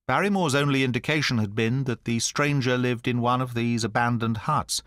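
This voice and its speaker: A man narrating an audiobook in the first person, at a measured, even storytelling pace. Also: mid-range voice, no echo, studio quality.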